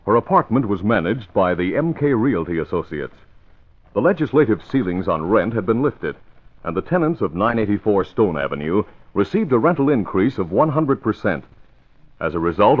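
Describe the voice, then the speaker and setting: Old time radio announcer guy, dramatic narration, big pitch swings, muffled sound, bit of music behind. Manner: emphatic, dramatic.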